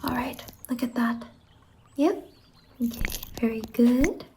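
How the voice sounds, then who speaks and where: A woman playing a whimsical, reassuring salon stylist in an ASMR roleplay, speaking softly and gently on a close microphone and often slipping into whispers. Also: on the high side, noisy background. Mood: soft, encouraging. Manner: gentle, reassuring, drawn-out words. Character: whimsical, reassuring female fever-dream hair salon stylist.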